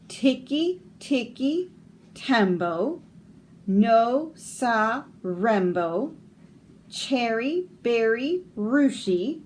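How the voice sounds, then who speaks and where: A breathless little boy in a storybook, pleading over and over in a high, swooping voice, as a woman reading aloud puts him on. He sounds frantic and earnest, his pitch leaping about as he begs. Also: fairly bright tone, slight background noise, exaggerated voice.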